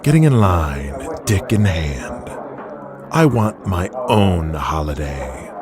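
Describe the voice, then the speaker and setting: A man's voice: deep voice, big pitch swings, takes it slowly, crowd noise, full character voice. A man playing a domineering, vulgar, grandiose wrestling company boss in an audio drama, speaking in a commanding, scornful, emphatic way. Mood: scornful, crude.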